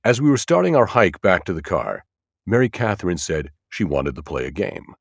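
A man reading scripted first-person thriller narration as an audiobook, with an expressive, dramatic storytelling delivery. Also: low voice, moderate pace, vocal fry, breathy edge, dry recording, studio quality.

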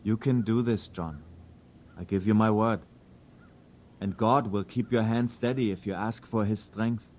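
A man's voice: fairly low pitch, unhurried, a little mellow. A man playing a big, earnest Prussian immigrant plotter with a German accent in a historical drama. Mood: solemn, urging. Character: big Prussian immigrant conspirator, resolute yet uneasy. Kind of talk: historical audio drama acting.

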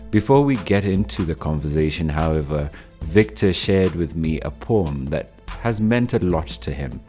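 A man hosting a podcast, reading a formal scripted introduction. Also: sits low. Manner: formal, read-aloud delivery. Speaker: podcast host. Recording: voice over soft background music.

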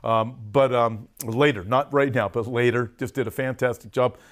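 A man's voice: medium pitch, steady tone, normal speed. An American man speaking as a pastor to an online audience in an informal, folksy sermon style, recovering from a cough with a lozenge in his mouth. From United States. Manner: folksy, direct address with emphatic stresses. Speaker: pastor hosting a prophecy update.

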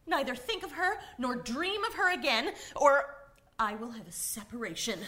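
A woman's voice: high voice, expressive, moderate pace, bright, forceful tone. A woman playing a haughty, jealous young wife in a theatrical reading of a period comic ghost story. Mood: indignant. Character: indignant jealous young wife, melodramatic narrator of a ghost comedy.

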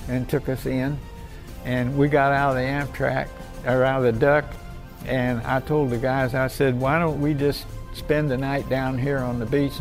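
A man's voice: medium pitch, creaky. An elderly American war veteran, a man, telling his memories in a folksy, rambling, colloquial way. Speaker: WW2 veteran recalling service. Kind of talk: spontaneous oral history storytelling.

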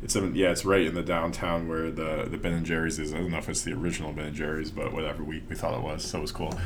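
A man's voice: rumbling low, little pitch movement, fast talker, background noise. A man telling a travel story casually on a podcast, laid-back and a little hesitant. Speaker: podcast co-host.

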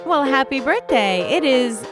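A woman's voice: high voice, lively intonation, measured pace, over music. A woman co-hosting a comedy show, chatting casually in a playful, teasing way. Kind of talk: casual chat.

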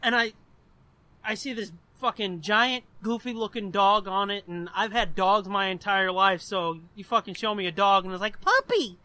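A man's voice: high-pitched, steady tone, moderate pace, bright, forceful tone. An American man in his twenties hosting a podcast, chatting casually and telling personal stories in a rambling, profanity-laced way. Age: 20s. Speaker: podcast host.

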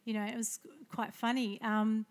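A woman's voice: fairly high pitch, flat delivery, normal speed. A middle-aged woman, a local councillor, telling her story in a casual, chatty interview with many fillers and restarts. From Australia.

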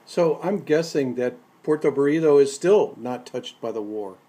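A man hosting a radio program, introducing a guest and asking measured questions. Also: mid-range voice, expressive, mellow.

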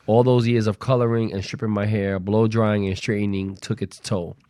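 A man with a fairly low voice, recorded dry and close. He speaks as a talk show panelist in a casual, conversational way, slipping into slang. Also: flat delivery, normal speed, mellow, no echo.

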